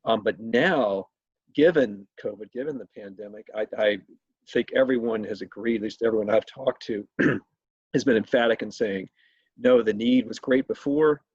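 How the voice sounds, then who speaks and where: A man hosts a conversational interview in a husky, fairly low voice. He speaks haltingly, doubling back on words as he forms questions. The sound is a little muffled, like a video call. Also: darkish tone, no room sound. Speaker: state legislator hosting an interview.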